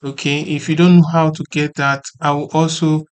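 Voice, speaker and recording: A tutorial host explaining software step by step in an informal way, repeating points and often checking that the listener follows. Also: mid-range voice, level tone, moderate pace, smooth. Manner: repetitive, often checking for understanding.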